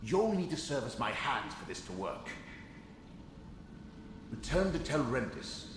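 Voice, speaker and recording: Game casting: proud old spectral magister, haughty lecturer with lively swings in pitch, drenched in echo. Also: male voice, unhurried, background noise. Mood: instructing. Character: proud, reclusive ghost of an old Telvanni wizard. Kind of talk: video game character acting. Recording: cavernous echo with music behind.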